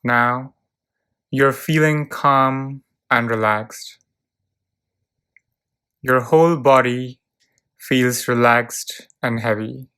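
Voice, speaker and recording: A narrator guides a relaxation exercise in a clean, smooth voice at a medium pitch. The delivery is very slow and soothing, with short calm phrases set apart by long pauses. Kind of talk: guided visualization, relaxation script.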